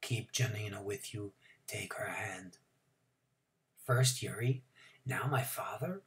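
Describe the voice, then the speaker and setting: Reader voicing a desperate middle-aged father, low urgent whisper, slow. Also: fairly bright tone. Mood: urgent hushed. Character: frightened middle-aged father, urging his son to flee.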